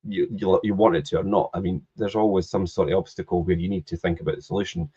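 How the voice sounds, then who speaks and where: Quick, deep-voiced man in his thirties. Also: fairly even intonation, warm tone, breathy edge. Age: 30s. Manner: quick, repeats words when starting a thought. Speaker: podcast host. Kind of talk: casual podcast chat. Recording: dull lo-fi sound.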